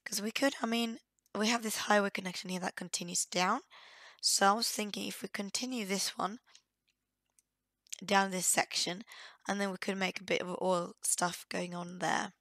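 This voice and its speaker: A woman with a croaky, cold-hoarse voice, giving casual, hesitant let's play commentary as she thinks aloud. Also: mid-range voice, fairly even intonation, normal speed, a bit bright, dry recording. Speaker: gaming video host.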